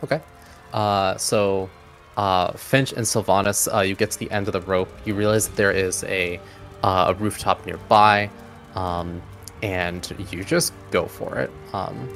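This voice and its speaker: A man's voice: sits low, steady tone, a little slow, gravelly, a bit bright. A man speaking as game master, narrating a tabletop game in an easygoing, hesitant way. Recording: music bed behind voice. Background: background music.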